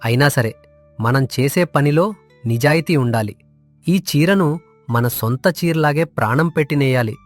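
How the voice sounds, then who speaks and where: A man's voice: on the high side, a little slow, soft background music, close to an everyday voice. A man playing an honest, poor village handloom weaver, earnest, humble and weary, in a moral story drama. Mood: weary, resigned. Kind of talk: audio moral story acting.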